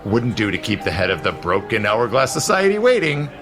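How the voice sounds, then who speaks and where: Smooth playful old-world gent in audio drama, really low voice, very lively delivery. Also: male voice, brisk. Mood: confiding, playful. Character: suave, teasing immortal gentleman protector, secretly seventy-two. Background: faint music.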